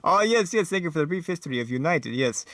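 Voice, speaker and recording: A man voicing a fussy, bumbling, eager talk show host who speaks haltingly and repeats himself. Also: on the high side, expressive, fairly bright tone, no room sound.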